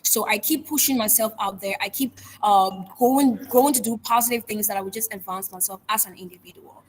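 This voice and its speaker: A woman's voice: mid-range voice, slight background noise, poor audio. A young woman with a Liberian accent, a guest speaker earnestly describing her public speaking journey in an interview. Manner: earnest, measured, self-reflective. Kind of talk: interview answers.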